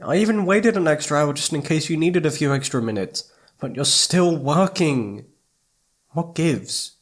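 A man playing a needy, affectionate young boyfriend in an intimate ASMR roleplay, speaking in a soft, pleading, hesitant way. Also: a little high, lively intonation, a little slow. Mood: whiny, indignant. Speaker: audio roleplay voice actor.

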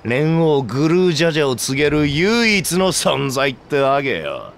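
A man voicing an arrogant, taunting two-headed reptilian warrior in a Japanese video game, with a gravelly, coarse delivery. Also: fairly high pitch, big pitch swings, normal speed. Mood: boastful, contemptuous. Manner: taunting, boastful, coarse speech.

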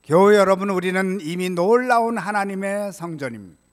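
An older man preaching a prepared sermon as a pastor, in a measured, solemn way, from a church pulpit microphone. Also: high-pitched. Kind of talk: sermon, read from prepared text. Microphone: gooseneck pulpit microphone. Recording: reverberant church hall sound.

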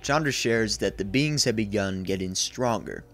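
A man's voice: fairly low pitch, moderate pace, a bit bright, faint music behind. A man in his twenties giving a scripted narration as a video essayist. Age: 20s. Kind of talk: scripted narration, horror series recap. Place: small indoor room.

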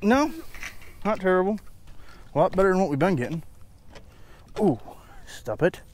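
Man, high lively voice, excited fishing banter, open boat outdoors, faint ambience. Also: normal speed, a little hiss or hum. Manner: excitable, animated. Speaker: fishing partner.

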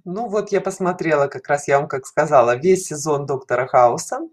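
A woman's voice: low voice, normal speed. A middle-aged woman chatting casually as a guest on an online show, with a self-ironic, giggly manner. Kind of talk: casual conversational interview. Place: indoor room, on a video call. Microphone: headset.

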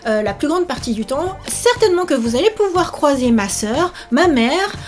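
Woman, 30s, high voice, excitable author-vlogger talking informally to viewers, faint music underneath. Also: moderate pace. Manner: animated, exclamatory, enthusiastic. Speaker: author and YouTuber promoting her book. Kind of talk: casual chat to camera. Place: small indoor room set up for filming.

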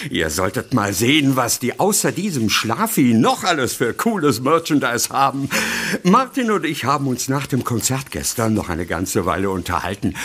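An actor playing a cheery, relaxed middle-aged organic-farmer dad in a German audio drama. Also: male voice, medium pitch, husky, heavily acted.

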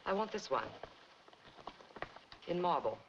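A woman's voice: lowish voice, sing-song, takes it slowly, slight background noise. Formal, grave wealthy woman, middle-aged, 1940s film drama, clipped short answers, dull old soundtrack. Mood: grave, composed. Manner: terse, composed, formal.

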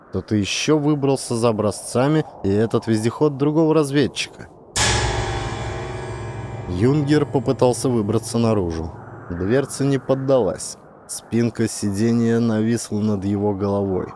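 A man's voice: fairly low pitch, dark tone, a little hiss or hum. A man reading scripted fiction as a dramatic audiobook narrator.

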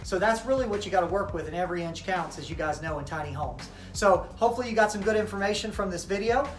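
A man's voice: high voice, quick pace. A man in his thirties, a tiny house builder, casually explaining his work to the camera from inside an unfinished house. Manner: relaxed, informal, frequent um and you know. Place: framed interior of an unfinished tiny house, indoors. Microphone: camera mic at a few meters. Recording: roomy echo, faint music.

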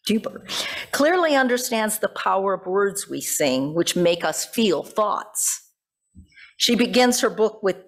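An older woman preaching as a pastor, reading from notes in deliberate phrases and breaking off for informal, self-correcting asides. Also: medium pitch. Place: church sanctuary at a pulpit. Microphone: gooseneck pulpit microphone.

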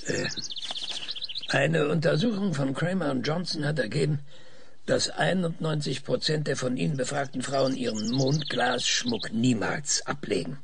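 Actor as halting, pedantic older male scientist, creaky. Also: on the high side, background noise. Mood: pedantic, hesitant. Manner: hesitant stammering, pedantic, insistent. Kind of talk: German audio drama acting.

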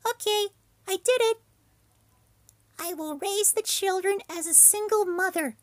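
A man's voice: very high voice, unhurried, dry recording, pitched above the performer's own voice. A man doing a squealing hyper little girl. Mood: excited. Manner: squealing, excitable. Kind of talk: anime fan voice reel.

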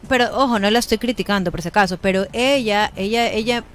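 A woman's voice: mid-range voice, fast. Ecuadorian woman chatting on radio. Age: 40s. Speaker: radio host. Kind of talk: casual radio chat. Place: small radio studio. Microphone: close studio microphone. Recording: faint music behind the voice. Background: faint music.

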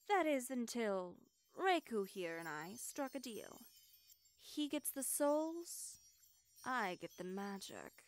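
A woman acts a cruel witch queen in a fantasy audio drama. Her voice is fairly high and she speaks slowly, savouring her power with haughty menace. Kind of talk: ASMR fantasy audio roleplay.

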